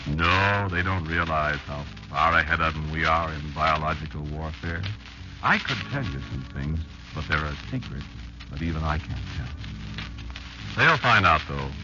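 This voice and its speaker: A man playing a wary old insect elder in an old-time radio drama, telling a child grim survival tales in a confiding, folksy way with deliberate pauses. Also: deep voice, full character voice. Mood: confiding, menacing. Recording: dull lo-fi old radio recording. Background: prominent music.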